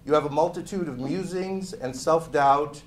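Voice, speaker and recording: A man's voice: on the high side, normal speed. A middle-aged man giving an emphatic, theatrical reading of a written introduction at a microphone. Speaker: guest reader at a book party. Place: indoor room at a live party. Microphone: microphone on a lectern stand. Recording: live room, faint background noise.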